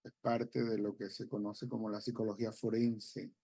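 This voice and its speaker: Gravelly-voiced Venezuelan man explaining. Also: lowish voice, little pitch movement, warm tone. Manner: measured, explanatory, with frequent hesitations. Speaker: psychologist guest. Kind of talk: expert explanation on a TV talk show. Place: TV studio.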